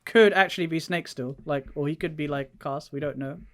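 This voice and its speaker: A man's voice: fairly high pitch, a little fast, very noisy. A man co-hosting a casual gaming podcast, thinking aloud informally and posing questions as he goes. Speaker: podcast host.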